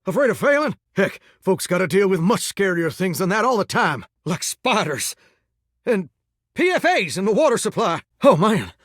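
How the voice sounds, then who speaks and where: A man voicing an earnest, excitable shower come to life as a folksy country singer with dreams, in an American Southern accent, for a video game. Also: sits high, normal speed, no room sound, studio quality, full character voice. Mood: earnest, wistful, then earnest, sentimental. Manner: folksy, earnest, flirty drawl with dramatic swoops. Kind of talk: video game character voice acting.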